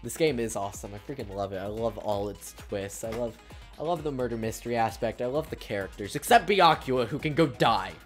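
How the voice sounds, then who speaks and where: A tired-voiced woman streamer, very deep. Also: expressive, brisk, soft background music. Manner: rambling, chatty, with fillers. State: tired voice after a long stream. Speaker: streamer playing a story game. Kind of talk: casual stream sign-off chat.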